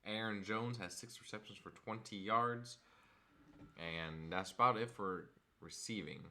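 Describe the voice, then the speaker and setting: A hoarse young man, a frustrated fan, recapping a football game and reading off stats in a casual vlog. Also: fairly low pitch, measured pace, pushed and bright. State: hoarse from screaming.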